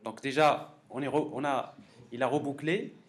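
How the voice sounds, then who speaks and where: A man giving a live technical software lecture as a professor, halting and repeating words as he thinks aloud. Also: mid-range voice, steady tone. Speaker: university professor teaching a class. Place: classroom during a live course session. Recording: clearly noisy classroom recording.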